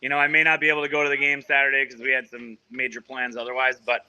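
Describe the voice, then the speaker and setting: A man's voice: mid-range voice, completely flat delivery, fast, pushed and bright, smooth, low-quality recording. A man from a soccer club talking casually on a video call from the training ground, rambling with many restarts. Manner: rambling, full of restarts and fillers. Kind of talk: casual remote interview.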